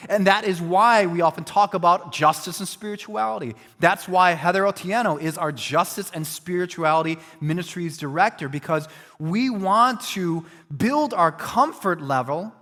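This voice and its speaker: A man's voice: high-pitched, a bit bright, big-room sound. A man preaching as a pastor, earnest and reflective, talking through a hard question in a conversational way. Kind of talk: sermon.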